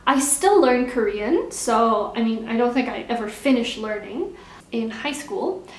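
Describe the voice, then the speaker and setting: A young woman vlogger chatting casually while answering viewer questions, relaxed and repeating words for emphasis. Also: on the high side, unhurried, slight background noise. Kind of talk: casual Q&A chat. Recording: echoey room.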